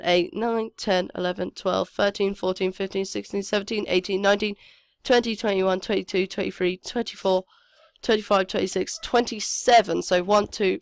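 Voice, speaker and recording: A woman's voice: mid-range voice, no echo. A woman with a cold, her voice sniffly and cracking, chatting hesitantly and thinking aloud as she commentates her own game. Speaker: gameplay commentator.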